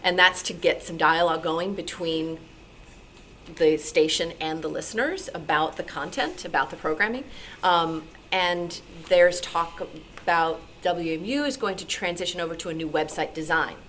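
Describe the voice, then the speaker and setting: A woman's voice: lowish voice, normal speed, a bit bright. An American woman speaking informally and enthusiastically at a club meeting, answering questions as a radio programmer. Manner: enthusiastic, conversational. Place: club meeting, live room. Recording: noisy live meeting-room recording.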